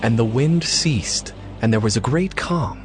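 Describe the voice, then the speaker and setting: A man narrating a dramatized scripture story in a measured storytelling manner. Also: medium pitch, expressive. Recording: prominent music behind the voice.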